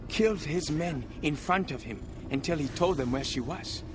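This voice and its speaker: An actor playing a boastful, cheeky thief in a video game, telling tall tales in a theatrical, self-dramatizing way. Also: male voice, on the high side, moderate pace, background noise, close to an everyday voice. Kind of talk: video game cutscene acting. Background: music.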